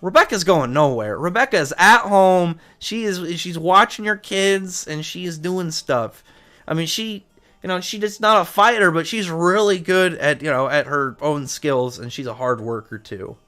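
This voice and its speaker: Rambling male streamer, higher-pitched voice. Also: a little slow, sharp tone. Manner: rambling, jumps between asides, repeats himself. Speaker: livestream host. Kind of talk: casual chat with viewers, reading comments.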